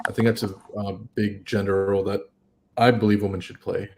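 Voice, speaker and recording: A man on a discussion panel, speaking in a measured, thoughtful way with some hesitation. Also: fairly low pitch, little pitch movement, noisy background. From United States.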